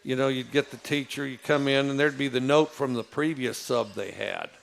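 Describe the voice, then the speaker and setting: A man with a low voice, telling stories in a casual, rambling way, with many fillers and restarts. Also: steady tone, moderate pace, creaky. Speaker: football coach. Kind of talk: casual storytelling.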